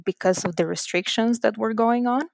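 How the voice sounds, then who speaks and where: A woman's voice: a little high, normal speed, creaky, no room sound, studio quality. A young woman from Slovenia, a native Slovenian speaker, chatting casually as a podcast guest. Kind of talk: casual chat.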